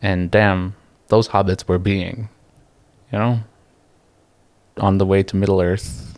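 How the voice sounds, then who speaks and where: Young man, from the Philippines, a bit low, relaxed and reflective, dry close sound. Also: no echo. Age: young adult. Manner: relaxed and reflective, pausing mid-thought, laughing easily. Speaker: guest. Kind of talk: casual interview chat.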